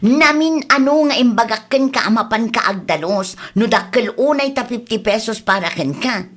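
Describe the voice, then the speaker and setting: A woman's voice: on the high side, animated, normal speed, fairly bright tone. A woman with a Filipino accent playing a fed-up, bossy, nagging village woman in a comedy skit. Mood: scolding. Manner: nagging, scolding. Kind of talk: Ilocano comedy skit acting.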